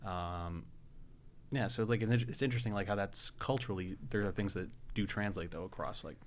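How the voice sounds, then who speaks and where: A male actor chatting as a guest, his voice very deep. He feels his way through sentences with frequent fillers, and a faint hiss sits under the recording. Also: fairly quick. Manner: hesitant, many fillers and restarts. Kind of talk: casual podcast conversation.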